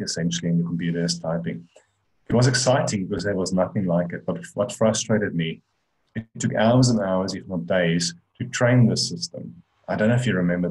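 Deep-voiced South African man presenting. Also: a little mellow, low-quality recording. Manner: conversational, with frequent restarts and fillers. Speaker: conference speaker on AI. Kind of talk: online presentation talk. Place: indoor room, on a webcam.

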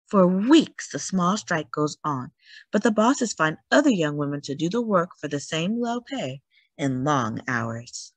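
A woman reading a picture book aloud in a dramatic, emphatic storytelling manner with deliberate pauses. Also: low voice, big pitch swings, dry recording. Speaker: children's read-aloud story host. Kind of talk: expressive picture book narration.

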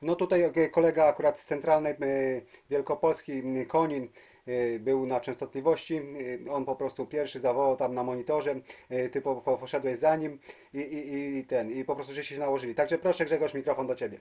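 A man's voice: mid-range voice, steady tone, fairly quick, dark tone. A man chatting casually as a CB radio operator, hesitating and repeating words. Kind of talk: casual radio contact chat. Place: at a home radio base station.

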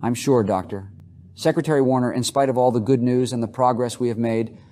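An actor playing a plain-spoken American president in charge of a meeting, in an audiobook. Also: male voice, mid-range voice, fairly even intonation, brisk, mellow, slight background noise, close to an everyday voice. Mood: questioning. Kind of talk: audiobook character acting.

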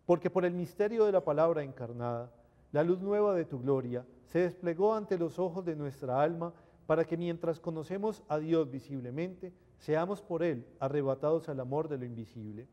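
A middle-aged man, a priest, reciting liturgical prayers in a measured, reverent, solemn way. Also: fairly high pitch, warm tone, highs cut off. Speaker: priest celebrating Mass. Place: indoor chapel at an altar. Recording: reverberant chapel sound.

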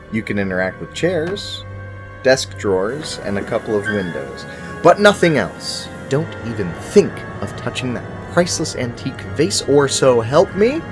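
A man in his thirties giving a scripted, dryly humorous video game review to camera. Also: medium pitch.

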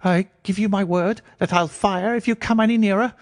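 A nervous, self-important man, acted out by a male narrator, with a reedy voice that quavers and sits well above a normal male register. He speaks fussily, like a frightened storybook gentleman, in a dry, close recording. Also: high-pitched, fairly even intonation, normal speed, no room sound, voice pushed up, natural voice. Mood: panicked threat. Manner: nervous, fussy. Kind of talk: audiobook character acting.

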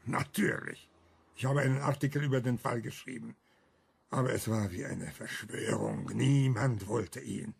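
An actor playing an older, embittered but principled camp survivor and writer in a German radio crime drama, arguing emphatically with rhetorical questions. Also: male voice, mid-range voice, moderate pace, vocal fry, breathy edge, full character voice. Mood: grave, bitter.

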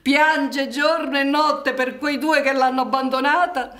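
An actress in a radio drama playing a brisk, cynical village woman, theatrical, with drawn-out words and scornful laughs. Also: high voice, fairly even intonation, a bit bright. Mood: scornful, animated.

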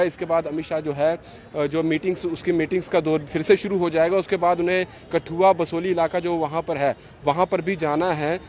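A man reports live from the roadside into a handheld mic. His voice sits a little high, and he talks in a wordy, repetitive, unscripted flow. Faint street and vehicle noise runs behind him. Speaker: field news reporter. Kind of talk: live on-the-spot reporting, unscripted piece to camera. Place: outdoors on a roadside by a gate and flyover. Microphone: handheld reporter's microphone. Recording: street traffic noise outdoors. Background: street ambience and vehicles.

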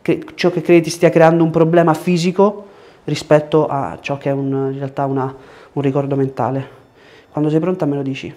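A man's voice: fairly high pitch, flat delivery, fairly quick, mellow. A man in his thirties, a chiropractor giving short directions and explanations to a patient during treatment. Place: small indoor treatment room. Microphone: lapel mic. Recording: clear background room noise.

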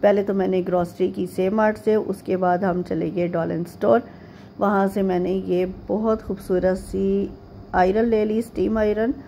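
Chatty middle-aged woman, gravelly mellow voice. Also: medium pitch, level tone, slight background noise. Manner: rambling, with frequent pauses and fillers; homely, chatty tone. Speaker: vlogger. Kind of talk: casual chatty voice-over narration.

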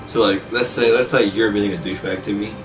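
A man's voice: lowish voice, fairly even intonation, brisk. A young man chatting casually in a small bedroom, telling stories in a brash, sweary way and repeating himself. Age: teenager or young adult. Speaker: vlog host telling school stories. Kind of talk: casual storytelling chat. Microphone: camera mic at a distance. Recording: distant mic with loud music.

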